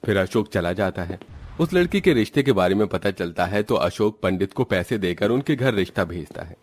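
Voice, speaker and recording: Low-voiced male story narrator. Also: expressive, moderate pace, faint music behind. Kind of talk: scripted storytelling for horror cartoon.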